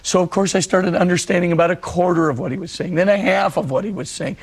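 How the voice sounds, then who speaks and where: A middle-aged man, a priest, giving a televised religious talk in an earnest storytelling way; his voice is husky and hoarse because he is losing it. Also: sits high, fairly even intonation, fast talker. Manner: earnest storytelling preacher, repeats phrases for emphasis.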